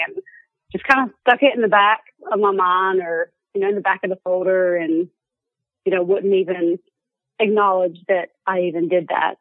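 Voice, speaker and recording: A woman's voice: medium pitch, no echo. Alabama woman on a tinny phone line. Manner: chatty, laughs often, rambling. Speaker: guest. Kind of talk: casual chat.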